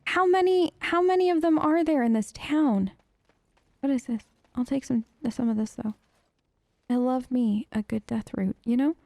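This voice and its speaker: A woman with a high voice hosts a role-play let's play in a close, dry recording. She chats casually and playfully, expressively addressing the characters as she goes. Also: normal speed, a little mellow, no room sound. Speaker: gaming video host. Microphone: close dry voice-over microphone.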